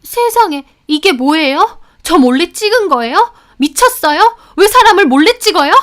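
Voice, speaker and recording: A woman voicing a brazen young housekeeper who protests in a high, whiny way. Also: big pitch swings, normal speed, fairly bright tone, exaggerated voice. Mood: outraged.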